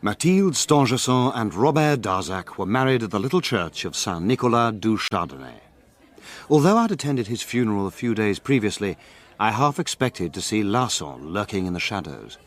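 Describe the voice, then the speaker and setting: An actor playing a proper, dryly disapproving gentleman who narrates a mystery drama in formal, measured phrases. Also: lowish voice, big pitch swings. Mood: wry. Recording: music bed behind studio narration.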